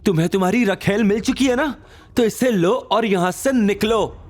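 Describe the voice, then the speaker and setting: A man's voice: high-pitched, moderate pace, pitched above the performer's own voice. A man voicing an angry, scornful young woman, scolding sharply and indignantly in an audio drama.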